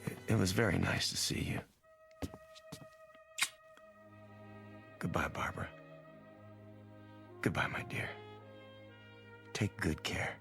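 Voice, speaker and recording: An actor playing a tired, gentle old former screen actor in an old television drama, hesitant in his speech. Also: low-pitched, expressive, a little slow, vocal fry, pauses often, full character voice. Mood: sad, tender. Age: older. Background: faint music.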